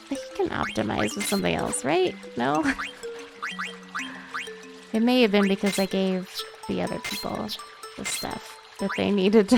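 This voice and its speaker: Chatty woman streamer with creaky edge. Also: on the high side. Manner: giggly, chatty, informal. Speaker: game streamer. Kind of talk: casual livestream playthrough chat.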